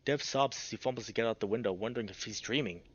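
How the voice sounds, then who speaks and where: A man's voice: on the low side, fast talker, dry recording, muffled recording. A man narrating a visual novel in a dramatic, immersed storytelling way, as a player reading aloud.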